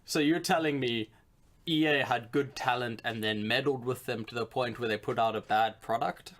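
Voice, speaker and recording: A man's voice: mid-range voice, moderate pace, a bit bright. A man co-hosting a podcast, chatting casually in a joking, sardonic way with some swearing. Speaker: podcast co-host. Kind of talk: casual chat.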